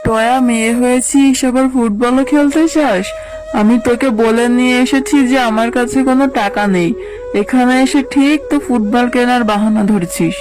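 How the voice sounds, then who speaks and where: A woman's voice: on the high side, moderate pace, music in the background, natural voice. A woman voicing a poor, weary but caring fox mother in a cartoon, plaintive and motherly. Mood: exasperated. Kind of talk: cartoon dubbing.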